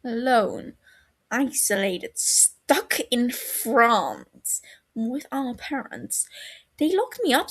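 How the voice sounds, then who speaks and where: A woman voicing a bitter, wounded younger brother in an audio story, heated and scornful, breaking into breathy hurt. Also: high voice, a bit bright, pitched above the performer's own voice, exaggerated voice.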